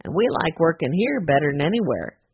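A woman's voice: deep voice, very animated, brisk, breathy edge, dull audio, exaggerated voice. A woman voicing a helpful teenage boy, plain and sincere.

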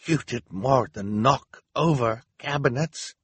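A man voicing an angry old volunteer with poor eyesight, speaking slowly and accusingly in a storybook reading. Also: medium pitch, a bit bright, husky, no echo, pitched above the performer's own voice. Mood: weary accusation.

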